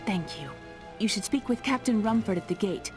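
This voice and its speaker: A woman's voice: mid-range voice, animated, moderate pace, lightly acted. An actress playing a determined young woman of a besieged town in a fantasy video game, earnest and plain-spoken. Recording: game music behind.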